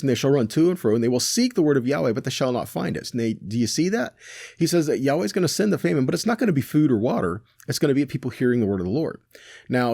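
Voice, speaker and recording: A man's voice: medium pitch, warm tone, no room sound, studio quality. A man teaching a scripture lesson in a casual, conversational way, reading verses aloud and paraphrasing them with frequent rhetorical questions and "right?". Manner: rhetorical questions, self-corrections. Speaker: teacher of a weekly Torah portion.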